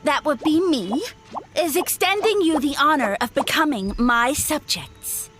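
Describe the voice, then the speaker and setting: A woman's voice: high voice, lively intonation, a little slow. A woman voicing a boastful little mushroom creature in a video game, speaking in a grandiose, haughty, theatrical way. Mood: haughty, grand. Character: pompous, boastful little mushroom creature posing as a mighty lord. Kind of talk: scripted video game voice acting. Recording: music behind the voice.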